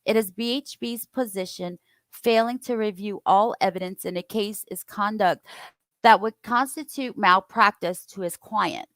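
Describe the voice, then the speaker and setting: A woman hosting a casual online show, reading court filings aloud in a steady, careful way with side comments. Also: medium pitch, normal speed, no echo. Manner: steady, careful reading with occasional stumbles and asides. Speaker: host reading court documents.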